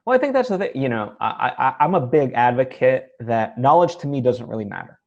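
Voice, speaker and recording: A man hosting a casual, reflective interview, talking in a halting way and repeating words as he reasons. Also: mid-range voice, big pitch swings, gravelly, muffled recording. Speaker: interview host.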